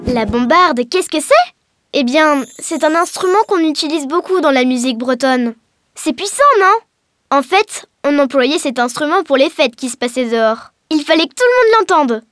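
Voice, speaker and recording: A woman's voice: high-pitched, expressive, moderate pace, fairly bright tone, no room sound, clean studio sound. A woman voicing a cheerful young girl who explains and encourages in an educational cartoon. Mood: bright, explanatory. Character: cheerful young Breton girl teaching her alien friend. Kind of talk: scripted educational cartoon dialogue. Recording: music behind the voice.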